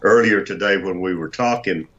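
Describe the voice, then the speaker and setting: An older man with a very deep voice, a radio host chatting as a guest and joking about himself. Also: moderate pace, fairly bright tone, highs cut off. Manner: self-deprecating, joking about his height. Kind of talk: casual chat.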